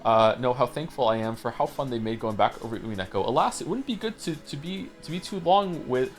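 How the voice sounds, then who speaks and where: A man streamer from Wales reading out chat messages in casual talk, with quick wry asides. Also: mid-range voice, animated, soft background music.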